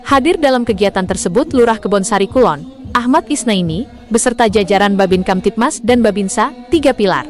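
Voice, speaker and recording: A woman reading a scripted news voice-over in a smooth, even way. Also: medium pitch, brisk. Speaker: news narrator. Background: background music.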